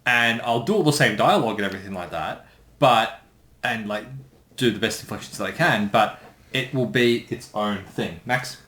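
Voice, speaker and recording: A man's voice: on the low side, moderate pace, sharp tone. A man chatting casually as a commentator on an episode, in a roomy, echoing space. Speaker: voice actor and commentator.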